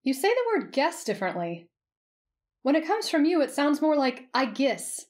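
Woman acting a lively, higher-pitched playful young woman. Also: moderate pace. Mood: teasing, playful. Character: playful teasing young woman infiltrator, excitable.

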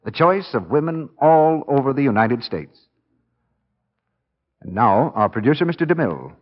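Animated male vintage radio announcer. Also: mid-range voice, moderate pace. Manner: emphatic, polished sales delivery. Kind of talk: 1940s radio commercial announcing. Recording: muffled old 1940s radio recording.

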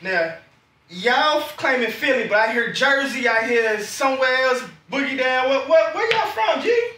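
A man's voice: very high voice, animated, measured pace, bright, forceful tone, recorded from a distance. A man hosting a casual group podcast, bantering and putting questions to his guests in a lounge. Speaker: podcast host. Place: basement lounge with several people on couches. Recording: roomy, off-mic sound.

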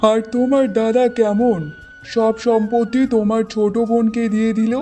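Suspicious village husband, acted by a woman, mellow voice, grumbling, fairy-tale cartoon, light background music. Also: on the high side, flat delivery, normal speed, natural voice. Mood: resentful.

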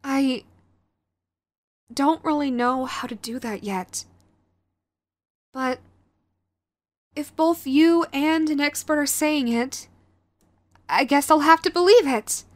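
A woman's voice: high voice, takes it slowly, long pauses, no echo. A woman playing a sweet, clingy, remorseful yandere girlfriend in an audio roleplay, hesitant and pleading, speaking intimately to the listener. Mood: hesitant, earnest. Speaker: voice actor.

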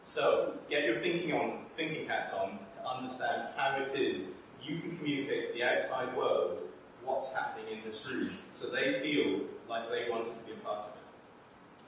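A man's voice: medium pitch, fairly bright tone. A man from Edinburgh, director of an institute, opening a workshop with a chatty, wry talk full of ums. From Scotland (Edinburgh). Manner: chatty and self-deprecating, many ums, wry asides. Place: large lecture room with a projector. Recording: very echoey room, voice from far off, faint noise.

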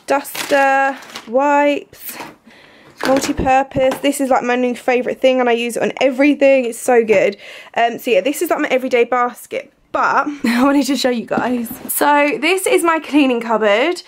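A woman's voice: sits high, moderate pace. A British woman in her twenties chatting casually to camera in an informal, self-deprecating way, like a home vlogger. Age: 20s. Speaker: cleaning and lifestyle vlogger. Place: indoors at home, living room and kitchen.

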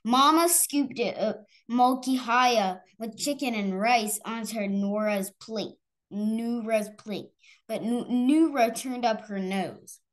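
A woman with a fairly high voice reads a picture book aloud to children. Her reading is halting, and she stumbles over harder words as she goes. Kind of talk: read-aloud children's story.

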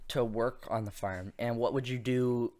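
A man's voice: mid-range voice, steady tone, normal speed, darkish tone. A man hosting a podcast interview with his grandmother, asking questions in a hesitant way with many fillers. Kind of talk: casual interview.

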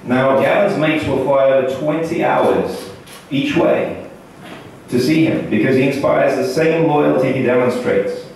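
A man in his thirties whose first language is Russian, giving a joking best man toast from notes into a handheld mic with a deadpan delivery and pauses for laughs. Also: medium pitch, gravelly. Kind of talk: wedding toast read from notes. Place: indoor banquet hall. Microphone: handheld mic, filmed by a far camera in the audience. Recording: echoey hall from far off, audience noise.

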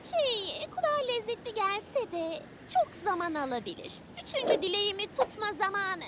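A woman's voice: very high voice, big pitch swings, normal speed, very noisy. A woman voicing a cheerful, kind eight-year-old heroine girl in a children's cartoon dub, encouraging and exclaiming. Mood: cheerful, helpful. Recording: loud music behind the voice. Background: loud children's music with jingles.

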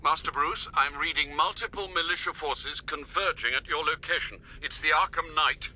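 An actor playing a loyal, worried older butler in a video game, formal and understated. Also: male voice, on the high side, normal speed, sharp tone, slight background noise. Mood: concerned.

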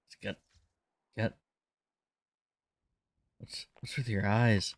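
A man's voice: on the low side, level tone, slow pace, dry recording. A man improvising in a game roleplay, chatting in a hesitant, bemused manner. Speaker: roleplay streamer playing a police lieutenant.

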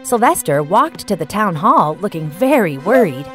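A woman narrating a children's bedtime story in an expressive, clearly enunciated storytelling manner. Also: mid-range voice, moderate pace. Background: background music.

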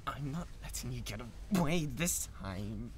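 A man's voice: mid-range voice, lively intonation, unhurried, a crowd in the background, natural voice. A British man playing an obsessive young yandere kidnapper in a dramatic ASMR roleplay, possessive and menacing. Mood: frantic, desperate. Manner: possessive, menacing, swinging from tender coaxing to frenzy.

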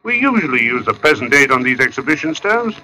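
An actor playing a simple working-class tombstone carver, halting and puzzled, in 1940s radio suspense style. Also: male voice, mid-range voice, very animated, sharp tone, low-quality recording. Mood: plain, weary. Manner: hesitant, halting, muttering interjections. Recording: old radio recording with music behind.

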